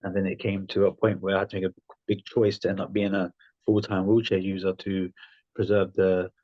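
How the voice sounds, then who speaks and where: A man in his thirties speaks frankly as a podcast guest, his thoughts running in loose phrases full of "um" and "like". His voice is low. The sound is dry and comes through dull, lo-fi remote audio. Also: level tone, fairly quick, no room sound. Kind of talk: conversational interview.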